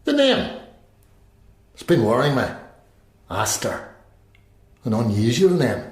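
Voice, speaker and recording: Pious, menacing prisoner, echoey room. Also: male voice, mid-range voice, wildly swooping pitch, exaggerated voice. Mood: quietly menacing. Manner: measured, preachy, taunting. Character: pious, defiant male IRA prisoner, quietly menacing. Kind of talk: radio crime drama acting. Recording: roomy, echoing interior.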